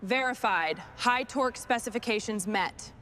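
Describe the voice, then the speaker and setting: A woman's voice: a little high, moderate pace, noisy background. A woman giving short, procedural work commentary as she restores machinery.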